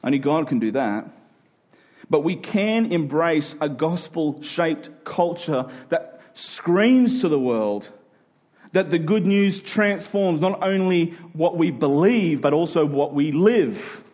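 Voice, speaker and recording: A male preacher with a fairly high voice delivers a sermon to his congregation. He speaks emphatically, posing rhetorical questions and repeating key phrases to drive them home. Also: animated, takes it slowly, darkish tone, highs cut off.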